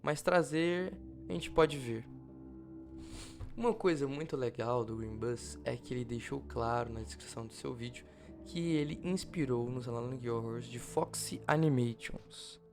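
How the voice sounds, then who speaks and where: Brazilian guy narrating a youtube vid casually, normal pitch, music playing behind him. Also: measured pace, darkish tone. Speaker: YouTube channel co-host. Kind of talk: informal video narration about analog horror. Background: background music.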